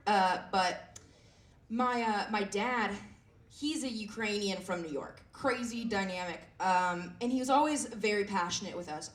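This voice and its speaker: A woman in her twenties with a raspy voice, performing a self-deprecating stand-up set with lots of fillers and halting restarts. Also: mid-range voice. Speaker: stand-up comedian. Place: comedy club stage. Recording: echoey room heard from a distance.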